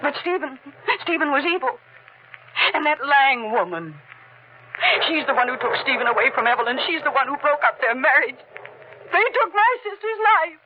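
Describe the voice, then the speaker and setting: An actress playing a desperate, unhinged murderess in a breathless, melodramatic 1940s radio thriller style. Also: female voice, sits high, big pitch swings, moderate pace. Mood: haunted, bitter. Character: desperate, unhinged young woman murderer, haunted by dead sister. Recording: noisy, muffled 1940s radio recording.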